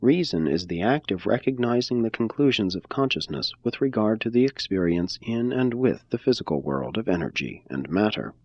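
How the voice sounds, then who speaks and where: A man giving a measured, phrase-by-phrase scripted reading of a religious text. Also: fairly low pitch, expressive, dry recording, muffled recording. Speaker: reader.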